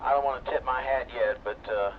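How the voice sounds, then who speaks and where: A man in his sixties, a ham radio operator talking in a relaxed, unhurried, teasing way. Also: mid-range voice. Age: 60s. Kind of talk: radio net chat. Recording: tinny two-way radio with clear hiss.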